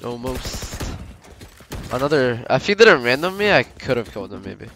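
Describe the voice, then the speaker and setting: A man's voice: medium pitch, big pitch swings, moderate pace, a bit bright, slight background noise. A man casually chatting to his stream viewers while gaming, slangy and sweary, recovering from a slight cold. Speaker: live game streamer.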